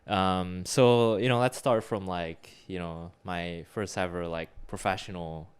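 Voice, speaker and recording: A young man with a very low voice that is remarkably smooth and resonant. He talks casually, hedging with lots of "you know" and "uh", and restarts his sentences as he goes. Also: fairly even intonation, unhurried. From Singapore. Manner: hesitant, many fillers and restarts. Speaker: podcast co-host. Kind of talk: casual conversational podcast.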